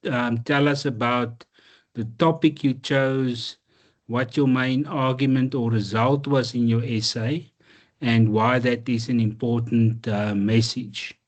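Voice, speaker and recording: A man interviewing a student, asking questions in a polite, tentative way full of softening hedges. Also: medium pitch, fairly even intonation, slow.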